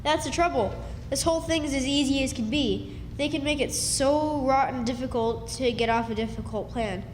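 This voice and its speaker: Woman as country runaway boy, radio drama, folksy, earnest, high voice, big reverberant hall. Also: moderate pace, slight background noise, lightly acted. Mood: eager, scheming. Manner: folksy, eager. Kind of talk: stage radio drama acting. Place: live stage in a hall.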